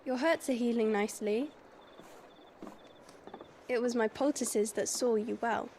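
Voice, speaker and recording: A woman's voice: a little high, unhurried, darkish tone, a little hiss or hum. A woman acting a kind, modest young village healer in a fantasy video game, plain-spoken and earnest. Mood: gentle, hopeful.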